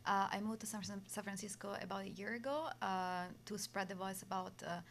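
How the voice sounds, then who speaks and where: A woman's voice: medium pitch, steady tone, fairly quick, a bit bright, a little hiss or hum. A woman from Italy with an Italian accent, a nonprofit co-founder speaking as an interview guest in hesitant speech full of fillers.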